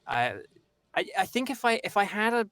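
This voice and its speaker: A man's voice: high-pitched, very animated, normal speed, pushed and bright. A young man with an English accent, chatting as a voice-actor guest in a rambling, self-interrupting way. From England. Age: young adult. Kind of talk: casual interview chat.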